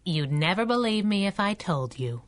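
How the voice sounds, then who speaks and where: A woman's voice: mid-range voice, big pitch swings, moderate pace, natural voice. A woman playing a plucky, wisecracking superhero sidekick in a 1930s-style radio drama. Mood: breezy, wry. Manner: breezy, wisecracking, slangy.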